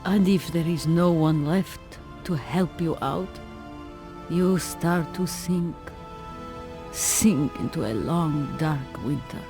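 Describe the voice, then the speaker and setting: A woman's voice: low voice, takes it slowly. An actress playing a melancholy old woman, a faded star, speaking mournfully and theatrically in a video game. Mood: gloomy. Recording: music under the voice. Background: music.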